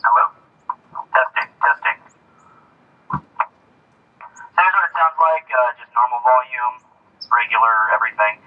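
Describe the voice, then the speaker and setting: A man doing a casual sound check, his voice coming through a small PA loudspeaker outdoors. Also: mid-range voice, measured pace, long pauses. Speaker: DIY presenter testing a PA. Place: outdoors, heard through car PA horn. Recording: tinny, phone-like PA horn, distant.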